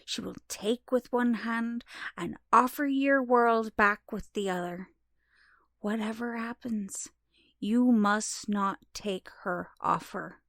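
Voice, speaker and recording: A woman playing a mysterious mother and grave mystic messenger in an audio drama, speaking portentously in a breathy near whisper. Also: fairly high pitch, a bit bright, no echo, clean studio sound. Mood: grave warning. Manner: slow, portentous.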